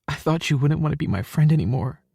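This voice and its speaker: A man voicing a tearful, anxious young woman in an audiobook, raising his voice into a soft, shaky tone. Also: fairly high pitch, expressive, quick pace, vocal fry, husky, no room sound, clean studio sound, pitched above the performer's own voice, exaggerated voice.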